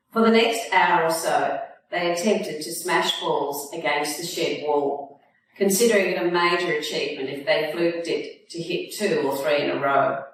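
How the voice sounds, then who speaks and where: Echoey, distant woman reading aloud. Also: sits low. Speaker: author reading own novel. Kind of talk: audiobook-style reading of fiction. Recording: echoey room, distant mic, faint noise.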